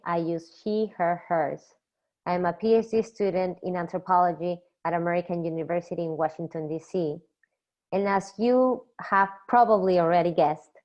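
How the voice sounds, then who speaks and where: A young woman from Peru, a doctoral student, reading a measured academic poster presentation from a script. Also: fairly low pitch, a little mellow. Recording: slightly dull lo-fi recording.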